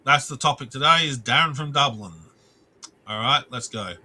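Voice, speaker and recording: A man's voice: medium pitch, moderate pace, pushed and bright. A man ranting on a live stream, rambling and restarting his sentences, swearing and breaking off to greet viewers in the chat.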